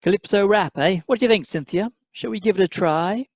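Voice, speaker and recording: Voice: medium pitch, brisk, no echo, lightly acted. Amateur actor as fussy English retired civil servant, pompous, superior, thrifty husband, radio comedy, dry recording. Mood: curious, superior.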